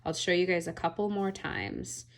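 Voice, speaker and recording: Gravelly, low-voiced woman teaching knitting. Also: normal speed. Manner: relaxed, often checks in with "all right?". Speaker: knitting instructor. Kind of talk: casual step-by-step tutorial.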